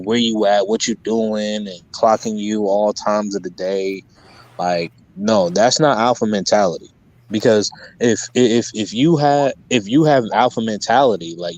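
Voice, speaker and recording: Male guest, low voice, halting and filler-heavy, casual call-in explanation. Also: a little mellow, dry recording, lo-fi sound. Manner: hesitant, many fillers and restarts. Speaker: guest content creator. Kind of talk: casual interview talk.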